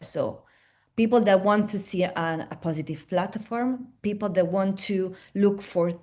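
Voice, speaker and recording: A woman's voice: medium pitch, a little slow, darkish tone. A woman from Italy with an Italian accent, presenting in a webinar in a chatty way, with frequent restarts and tag questions. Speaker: platform representative presenting at a webinar.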